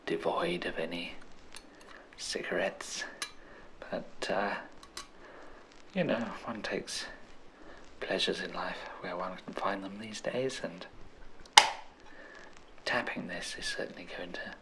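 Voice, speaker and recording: A man with an English accent doing an old-fashioned ASMR role-play, hushed and soothing, with genteel, formal turns of phrase. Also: deep voice, animated, slight background noise, low-quality recording. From England. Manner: slow, hushed, soothing delivery. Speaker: ASMR host.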